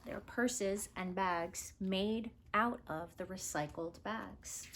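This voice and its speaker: A woman teacher reading a children's story aloud expressively, with careful, dramatic phrasing and emphasis. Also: fairly high pitch.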